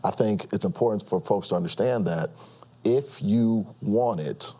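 A man in his thirties from Nigeria, telling his story as a guest in a casual interview, earnest and quick to laugh. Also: lowish voice, mellow, breathy edge. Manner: laughs often, earnest, many restarts.

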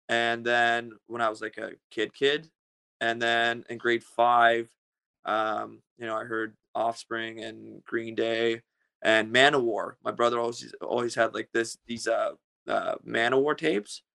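A man's voice: medium pitch, flat delivery, measured pace, creaky, sharp tone, clean tone. A man from Russia, a guest musician in his thirties, answering interview questions casually, full of hesitations and restarts. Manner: hesitant, many fillers and restarts.

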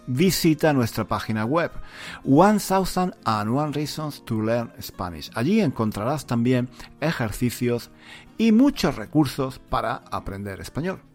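A man reading a scripted podcast outro in a clear, announcer-like way. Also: mid-range voice. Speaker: podcast host. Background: soft music.

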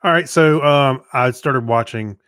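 A man co-hosting a podcast, chatting casually about shows in a halting way, often searching for words. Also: mid-range voice, a bit bright, no echo, clean studio sound. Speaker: podcast co-host.

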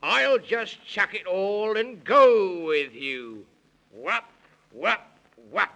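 Genial, puffed-up British walrus gent, 1930s children's radio; high-pitched, gravelly, rhyming delivery. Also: male voice, lively intonation, extremely slow, bright, forceful tone, heavily acted. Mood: jovial. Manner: pompous, exclamatory, speaking in rhymes. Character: blustery, pompous, genial British walrus gentleman. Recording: vintage 1930s radio recording.

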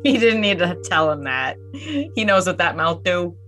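Low-voiced sardonic woman commentator. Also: very animated, unhurried, a bit bright, faint music behind. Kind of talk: dry comic commentary.

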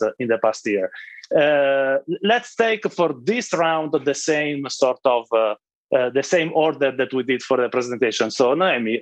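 A man moderating a panel, pausing often and hedging his questions. His voice sits at an ordinary pitch. The audio is dull and dry, as if from a lo-fi remote setup. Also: no room sound. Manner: hesitant, many fillers and hedges. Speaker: podcast host and panel moderator. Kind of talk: hosting a panel discussion.

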